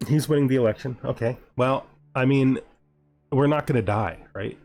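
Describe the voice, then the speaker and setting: A man's voice: medium pitch, moderate pace, a little mellow. A man giving casual, jokey let's-play commentary on a strategy game, thinking aloud and often putting questions to himself. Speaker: gaming video host. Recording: background music under the voice. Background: music.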